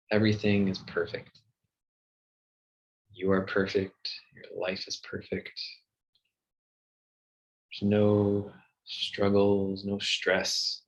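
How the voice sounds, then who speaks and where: Male meditation guide: low, very unhurried, gentle delivery with soothing pauses. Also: rough recording. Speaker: meditation teacher.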